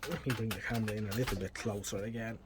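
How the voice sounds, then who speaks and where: A man's voice: fairly low pitch, steady tone, rapid-fire. An Irish man gives casual hands-on repair commentary in a wry, self-deprecating way, muttering to himself as he works. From Ireland. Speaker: electronics repair hobbyist presenting. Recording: clearly noisy home video recording.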